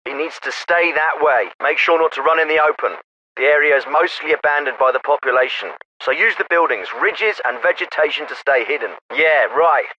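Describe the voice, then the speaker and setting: Brisk military captain, sharp forceful tone, clipped and commanding, dry sarcasm, video game radio dialogue, band-limited sound. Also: male voice, a little high, expressive, muffled recording. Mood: terse, focused. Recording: radio-filtered, band-limited clips with faint static.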